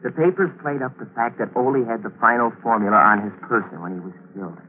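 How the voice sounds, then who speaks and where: A man's voice: mid-range voice, quick pace, natural voice. A man in a 1940s radio drama playing a scheming plotter who also narrates, clipped and commanding. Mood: cold, commanding. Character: cool, scheming male schemer plotting a cover-up. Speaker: narrator and lead character. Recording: tinny old radio broadcast with hiss.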